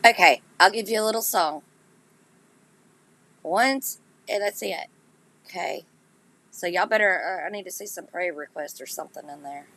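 A woman chats casually with her listeners on a live podcast. Her voice is raspy and gritty, stuffed up and nasal from congestion. She talks straight to her audience in a relaxed, self-mocking way. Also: on the low side, moderate pace, long pauses. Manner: chatty, laughs at herself, talks straight to listeners. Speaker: podcast host.

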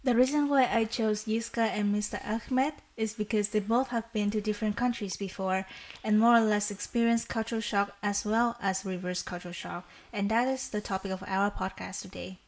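A young woman of nineteen from Vietnam hosts a student podcast at a middle pitch. Her delivery is steady and earnest, with a slightly halting, scripted feel. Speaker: podcast host.

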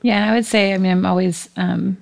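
A woman's voice: mid-range voice, fairly even intonation, fast talker, creaky, darkish tone. A woman with a Californian accent, speaking casually as a podcast guest. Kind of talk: casual chat.

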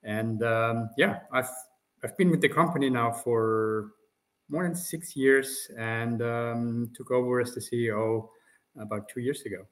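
A man with an Austrian German accent, speaking as a company executive guest in a polite, measured way with frequent pauses. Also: medium pitch. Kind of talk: interview conversation.

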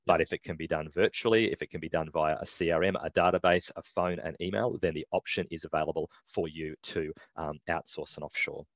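A man speaking as a guest in a casual business chat, explaining his points in a measured, steady way. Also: rumbling low, no echo. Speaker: guest, recruitment business owner. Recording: dull dry mic.

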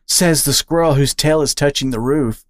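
A woman voicing a steady, matter-of-fact female otter who runs a grocery store. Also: sits low, moderate pace, no echo, clean studio sound, voice pushed up, lightly acted. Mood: dry. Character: dry, steady female otter shop owner.

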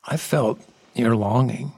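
A man acting in a radio play as a gentle, mysterious creature from a basement, with a formal, polite manner. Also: medium pitch, slow pace, vocal fry, slightly rough, full character voice. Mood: gentle, knowing.

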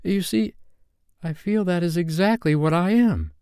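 A man's voice: high-pitched, a little slow, warm tone, smooth, no echo, studio quality, voice pushed up. A man voicing a sweet, motherly little girl from a storybook, speaking in a prim, earnest manner. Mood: earnest, accepting.

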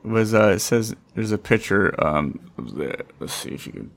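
A man's voice: on the low side, big pitch swings, normal speed, vocal fry. A man hosting a podcast in casual chat, teasing and often repeating himself. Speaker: podcast host.

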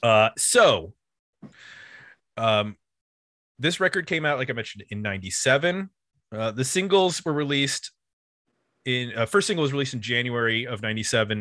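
A man's voice: medium pitch, bright, forceful tone, no room sound, clean studio sound. A man hosting a music podcast, casually explaining the history of an album in an informal, loosely structured way.

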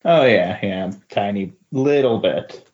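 A man's voice: fairly low pitch, animated, slow pace, a little mellow, breathy edge, highs cut off. A man chatting casually as a guest co-host on a podcast. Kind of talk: casual chat.

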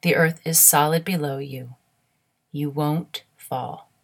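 A woman leading a guided meditation in a soothing, measured, instructional way. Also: low-pitched, fairly even intonation.

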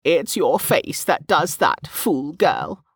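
Narrator doing a bawdy old market woman, high swoopy voice, knowing and teasing, storybook character. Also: wildly swooping pitch, takes it slowly, husky, dry recording, clean studio sound, exaggerated voice. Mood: mocking, fond. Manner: teasing, knowing, calls people girl and dear. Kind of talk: audiobook character dialogue.

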